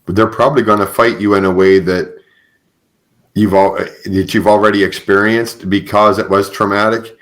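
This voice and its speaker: A man with a notably low voice speaks as a podcast host. He talks in a conversational, earnest way, affirming the other person and peppering his phrases with "you know.". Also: steady tone, normal speed, rough recording. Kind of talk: conversational interviewing.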